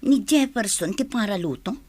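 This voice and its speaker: A woman's voice: mid-range voice, animated, normal speed, a little mellow, husky, dry recording. A woman acting a guarded, hesitant young woman being courted in a radio drama. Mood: doubtful. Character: guarded young woman, courted but wary of drinking men. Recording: music under the voice.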